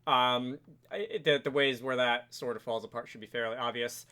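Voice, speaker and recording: Bright-voiced man thinking aloud through a tutorial. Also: medium pitch, fairly even intonation, moderate pace. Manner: hesitant, self-correcting, thinking aloud while working. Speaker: programming tutorial presenter. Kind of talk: unscripted screen-recorded software tutorial narration.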